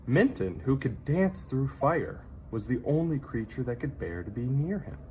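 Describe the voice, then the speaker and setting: A reader narrating a children's story aloud, with dramatic, drawn-out emphasis on key words. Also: medium pitch, very animated, dark tone, a little hiss or hum, poor audio.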